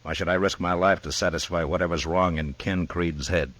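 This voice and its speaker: An actor playing a hard, principled frontier marshal in an old radio western, terse and deadpan. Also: male voice, deep voice, a little fast. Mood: grave, resolved. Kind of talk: old-time western radio drama acting.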